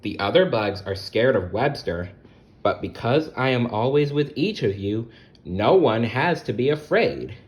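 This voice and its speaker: A man voicing God in a children's storybook, calm, reassuring and deliberate. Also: mid-range voice. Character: God, calm reassuring all-present protector.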